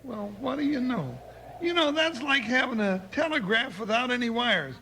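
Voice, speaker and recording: An actor playing a bumbling, good-hearted, talkative cowboy sidekick with an American Western accent in a 1950s radio western. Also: male voice, very high-pitched, animated, normal speed, sharp tone, slight background noise, exaggerated voice, poor audio. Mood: excitable. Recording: vintage 1950s radio recording.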